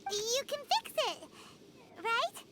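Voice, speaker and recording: Tiny childlike fairy, extremely high squeaky voice, bubbly, cheeky, video game companion, loud noise behind. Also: female voice, sing-song, takes it slowly, full character voice. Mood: puzzled. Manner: bubbly, squeaky, speaks of herself in third person.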